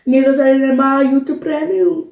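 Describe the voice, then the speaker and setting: A woman's voice: sits high, steady tone, creaky, highs cut off. A young woman chatting casually as co-commentator, blunt and teasing with slangy swearing. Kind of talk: casual reaction chat. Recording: roomy echo, faint noise and clicks.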